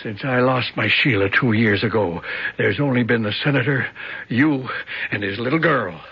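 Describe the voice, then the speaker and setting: An actor playing a loyal, plainspoken older ex-army sidekick in a 1970s radio drama, with a husky voice. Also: male voice, medium pitch, moderate pace. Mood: stoic loyalty. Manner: folksy, plainspoken. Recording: muffled 1970s radio broadcast.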